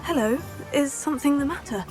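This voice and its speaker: An actress playing a composed teenage witch student in a video game, speaking in a polite, measured way. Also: high-pitched, darkish tone, faint music behind, lightly acted. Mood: polite, businesslike. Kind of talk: video game character acting.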